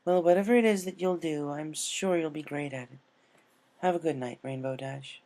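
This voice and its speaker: A narrator voicing a tender, regal young female alicorn princess in a gentle, formal, measured way for a story reading. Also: medium pitch, pitched above the performer's own voice, natural voice. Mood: gentle, consoling.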